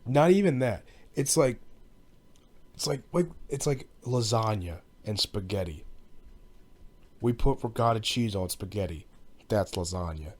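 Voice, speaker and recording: A man's voice: fairly low pitch, lively intonation, measured pace, long pauses, a little mellow. A young American man hosting a podcast, rambling casually and comedically in an exasperated way, with plenty of swearing and bro slang. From United States. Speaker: podcast host.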